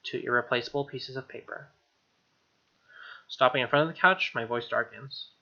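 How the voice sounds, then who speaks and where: A man's voice: medium pitch, normal speed, sharp tone. A young man reading game text aloud as a let's play host, with irreverent, slangy commentary. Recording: dull lo-fi mic, music behind. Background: game music with guitar.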